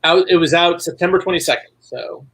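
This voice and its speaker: A man's voice: on the high side, fairly quick, vocal fry, crowd noise. A man speaking as a writer guest in a casual interview, telling things conversationally.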